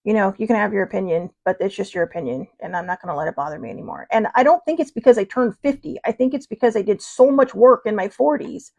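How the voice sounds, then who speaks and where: A woman's voice: mid-range voice, quick pace, creaky, few pauses, a little mellow. A woman in her fifties hosting a casual livestream, chatty and rambling, with lots of fillers and restarts as she answers viewers. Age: 50s. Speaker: host.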